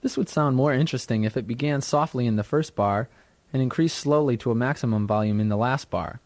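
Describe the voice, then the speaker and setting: A man reading scripted instructional narration as a software tutor, in a measured, explanatory manner. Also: medium pitch, slight background noise. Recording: dry studio narration from a 1990s tutorial cassette.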